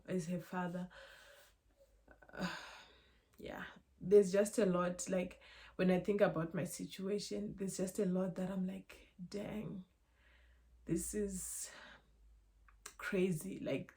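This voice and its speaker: A young woman from Zimbabwe, speaking in a halting, emotional, reflective way in a personal vlog. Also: mid-range voice, mellow. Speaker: vlogger.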